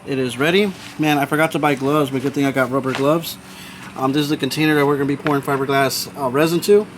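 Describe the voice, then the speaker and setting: A man's voice: mid-range voice, fairly even intonation, quick pace. A man hosting a casual hands-on DIY vlog outdoors, talking to viewers in an informal, slangy, repetitive way. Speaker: host of a DIY car audio video channel. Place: backyard, outdoors. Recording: noisy outdoor recording, vehicle and ambient sounds.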